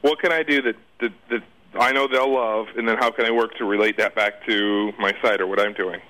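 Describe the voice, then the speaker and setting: A man's voice: on the low side, steady tone, fairly quick, bright, forceful tone, rough recording. A man giving casual interview answers as a guest, informal and rambling in the way he talks.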